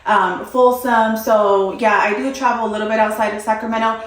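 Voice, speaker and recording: A woman's voice: on the high side, fairly even intonation, fairly quick. Upbeat young woman, echoey room. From Mexico. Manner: friendly, upbeat, with um fillers. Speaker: realtor guest. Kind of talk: interview answers.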